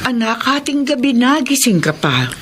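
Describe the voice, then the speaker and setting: An actress playing a gentle, supportive middle-aged mother in a radio drama. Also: a little high, big pitch swings, moderate pace, background noise. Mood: tender.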